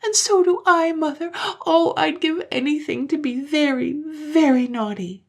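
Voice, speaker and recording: A woman voicing two tearful little sisters who plead in high, sobbing voices. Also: lively intonation, unhurried, a little mellow.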